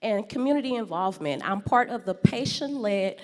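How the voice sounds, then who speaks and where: A woman from St. Louis in her forties, giving a loud, playful talk that draws in the audience. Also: mid-range voice, lively intonation, brisk. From St. Louis, USA. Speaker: guest speaker. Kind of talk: lively public talk with audience games. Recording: echoey hall sound.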